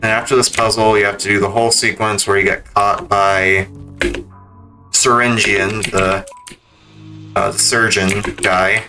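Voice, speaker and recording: Low-voiced, very bright male streamer. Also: level tone, a little slow, faint music behind. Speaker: speedrun streamer. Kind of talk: casual gameplay commentary. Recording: keyboard clicks and background noise.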